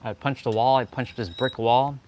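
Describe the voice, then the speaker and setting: A man's voice: medium pitch, fairly even intonation, moderate pace, darkish tone. A man with a Canadian accent giving an impromptu, insistent motivational monologue on a livestream, repeating phrases for stress. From Toronto, Canada. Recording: clearly noisy outdoor ambience.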